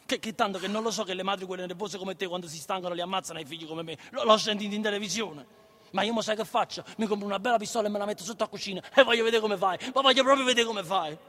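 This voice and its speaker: An actor playing a stubborn, naive, troubled son in a radio drama, stammering and halting, with a southern Italian, Neapolitan accent. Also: male voice, high-pitched, a bit bright. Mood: hurt, defiant. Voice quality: stammering, repeats first syllables. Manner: halting, childlike, insistent.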